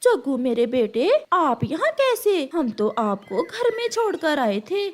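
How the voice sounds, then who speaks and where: Woman voicing Kalu, a slow, emphatic hunter bird. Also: high-pitched, big pitch swings. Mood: surprised.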